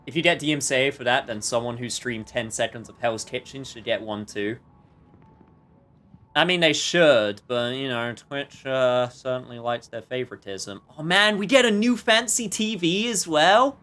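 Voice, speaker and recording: A man's voice: medium pitch, lively intonation, normal speed, sharp tone. A man livestreaming a game with casual, rambling commentary full of jokey asides.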